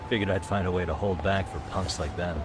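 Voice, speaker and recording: An actor playing a calm, modest but tough male detective in a video game cutscene. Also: sits low, brisk, background noise, lightly acted. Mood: calm, understated.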